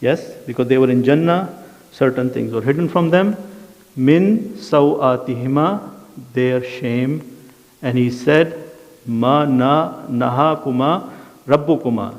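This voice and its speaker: A man's voice: medium pitch, fast, darkish tone. A man with an Urdu accent teaching a Quran class, reciting Arabic verses and translating them line by line, often checking in with his students. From South Asia. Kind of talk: classroom lesson explaining verses. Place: mosque education centre classroom. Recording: dull sound, long hall reverb.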